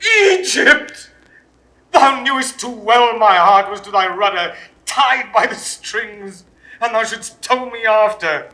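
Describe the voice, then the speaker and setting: A fallen Roman general in a vintage Shakespeare audio drama. His bright voice moves slowly through the lines, swinging from trembling whispers to high, anguished cries. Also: animated, full character voice, low-quality recording. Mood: reproachful. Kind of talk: 1960s studio Shakespeare recording.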